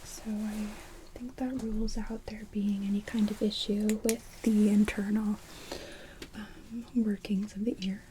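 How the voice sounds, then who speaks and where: A woman whispering in a breathy, gentle, explanatory way, as an audiologist in an ASMR medical roleplay. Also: medium pitch, little pitch movement, unhurried, slight background noise, low-quality recording. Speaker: ASMR performer playing an audiologist.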